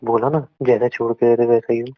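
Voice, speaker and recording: A man's voice: medium pitch, little pitch movement, brisk, natural voice. A man playing a heartbroken young man on a phone call, wounded and sarcastic, repeating phrases with rhetorical tags. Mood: bitter sarcasm. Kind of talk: dramatised emotional phone-call dialogue in Hindi. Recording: dull, dry lo-fi call recording.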